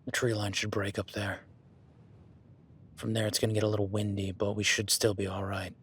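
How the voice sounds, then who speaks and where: An easygoing, seasoned cowboy rancher, voiced by a man in an audio roleplay. His voice sits low with a husky edge, and he talks in a flat, folksy, plainspoken way straight to the listener. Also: brisk.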